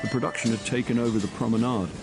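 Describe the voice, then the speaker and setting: A man's voice: medium pitch, fairly even intonation, rapid-fire, loud music behind the voice, lightly acted. A man playing a charming, unlucky middle-aged actor and amateur sleuth in a radio drama, with a dry, wry manner. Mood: wry narration. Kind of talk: radio drama acting with first-person narration.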